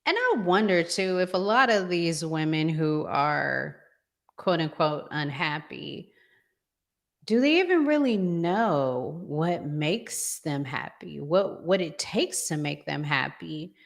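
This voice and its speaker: A woman's voice: low voice, lively intonation, takes it slowly, creaky. A woman hosting a talk show, giving conversational relationship advice emphatically and repeating phrases to drive them home.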